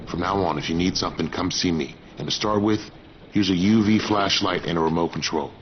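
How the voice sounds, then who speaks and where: Actor as low-voiced blunt survivor handler. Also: male voice, a little fast, lightly acted. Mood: grave, businesslike. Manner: blunt, instructional, wry. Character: gruff, confident man, trap-setting survivor handler. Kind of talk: video game voice acting. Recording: dull, noisy game audio with engine ambience.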